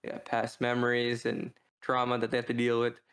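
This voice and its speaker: A man co-hosting a podcast, talking through a book review in a casual, rambling, halting way as he thinks out loud. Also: mid-range voice, completely flat delivery. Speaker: podcast co-host.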